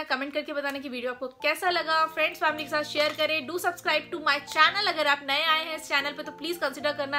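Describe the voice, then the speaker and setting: A woman's voice: high-pitched, sharp tone. A young woman chatting casually as she reviews a baby toy, explaining its features and mixing English phrases into her talk. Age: young adult. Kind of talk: casual product review, Hindi mixed with English. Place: small bedroom indoors.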